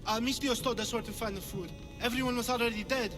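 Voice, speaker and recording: Very high, nervous villager. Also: male voice, fairly even intonation, quick pace, big-room sound, over music. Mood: panicked, defensive. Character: nervous, frightened male villager. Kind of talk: video game cutscene acting.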